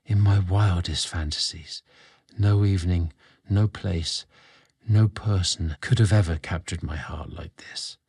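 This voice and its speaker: Romantic audio-story butler, acted by a man: young, devoted, soft earnest delivery, lowish voice. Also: fairly even intonation, a little slow, husky, no room sound, clean studio sound, full character voice. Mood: tender, adoring. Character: tender young butler deeply in love.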